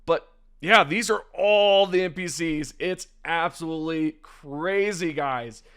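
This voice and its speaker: A young man hosting a gaming news video, chatty and enthusiastic, his voice slightly raspy today. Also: fairly high pitch, takes it slowly, fairly bright tone. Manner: enthusiastic, chatty, addresses viewers directly. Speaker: gaming channel host. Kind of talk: casual gaming news commentary over gameplay.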